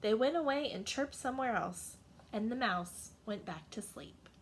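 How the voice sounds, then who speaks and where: A woman's voice: a little high, animated, moderate pace, a little hiss or hum. A woman narrating a children's storybook as a teacher reading to young listeners.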